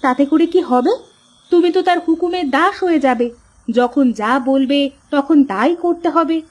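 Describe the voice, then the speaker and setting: A woman acts out a fisherman's wife in a cartoon village tale. Her voice is high. She comes across as spirited, plain-spoken and encouraging. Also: normal speed, close to an everyday voice. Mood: concerned, defiant. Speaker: cartoon character voice. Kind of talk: Bengali cartoon story dialogue.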